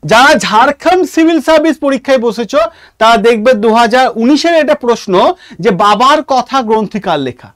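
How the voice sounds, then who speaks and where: Bengali guy teacher, really high voice, dry close sound, repeats stuff with emphasis for students. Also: brisk, a bit bright, no echo. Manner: repetitive, emphatic, dictating points. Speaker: exam-prep history teacher. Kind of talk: online class lecture, addressing students informally.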